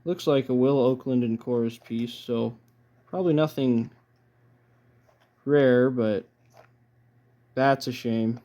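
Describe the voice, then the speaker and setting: A man giving casual, low-key unboxing commentary as a collector, halting often as he thinks aloud. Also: mellow. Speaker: record collector presenting his haul.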